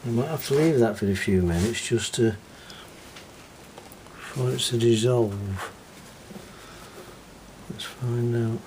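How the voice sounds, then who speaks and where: A man's voice: medium pitch, takes it slowly, long pauses, noisy background. A man casually narrating as he cleans parts of an old tape deck, muttering an easygoing running commentary. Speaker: hobbyist repairing a reel-to-reel deck.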